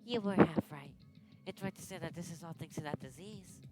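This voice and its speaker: A woman's voice: sits low, fairly quick, lightly acted. A woman voicing an obsessed young woman lost in devotion, dreamy and unsettling. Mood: manic. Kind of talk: dramatic game dialogue. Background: loud game music.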